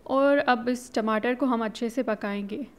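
A woman's voice: on the high side, unhurried. Chatty woman talking through a recipe. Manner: chatty, instructive, mixes in English words. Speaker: cooking channel host. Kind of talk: casual recipe voice-over.

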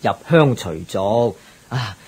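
A friendly local guide in radio-play dialogue. He explains things expressively, in a rough husky voice with lively swings of pitch. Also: slow pace, exaggerated voice. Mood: informative.

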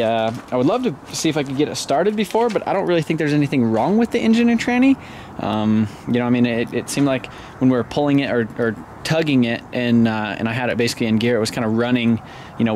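A man's voice: medium pitch, quick pace, darkish tone, slight background noise. A man in his thirties filming himself with a handheld camera, walking around cars on an outdoor lot and rambling casually with lots of ums and restarts. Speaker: car vlogger. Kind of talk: casual walkaround vlog. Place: outdoor salvage car lot, then a garage shop. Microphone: handheld camera at arm's length.

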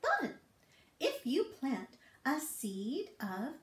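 A middle-aged woman speaks to small children in a high, very animated voice. She hosts storytime with playful, singsong encouragement, taking her time over the words. The room adds some echo. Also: extremely slow. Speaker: children's storytime librarian host. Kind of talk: read-aloud, songs and rhymes for toddlers. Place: small book-lined office. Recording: roomy indoor echo.

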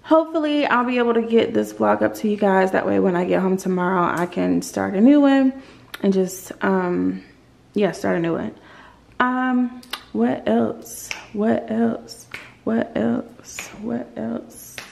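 A woman's voice: mid-range voice, a little slow, gravelly, a little mellow. A young woman in her twenties chatting to a handheld camera in her apartment, rambling with lots of ums and repeats. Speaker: lifestyle vlogger. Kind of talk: casual chat to camera. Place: apartment living room and kitchen, indoors. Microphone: handheld camera on a grip, close.

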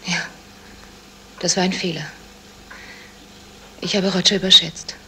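A woman's voice: fairly low pitch, level tone, unhurried, bright, forceful tone, a little hiss or hum. An actress playing a troubled young woman in a 1970s radio crime drama, speaking earnestly and hesitantly. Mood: distressed, confessing. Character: troubled, confessing young woman, blackmailed heiress.

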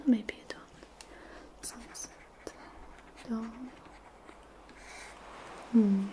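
A young woman whispering a slow, dreamy ASMR guided visualization close to the mic, with soft murmured hums between phrases. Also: mid-range voice, poor audio. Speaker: ASMR host.

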